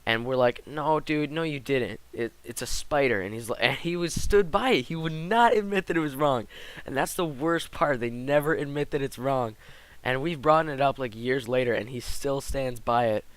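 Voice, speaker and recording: Teen male gaming commentator, slightly hoarse, filler-heavy rambling, smooth tone, dry recording. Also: medium pitch, normal speed, no room sound. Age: teens. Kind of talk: casual commentary over gameplay.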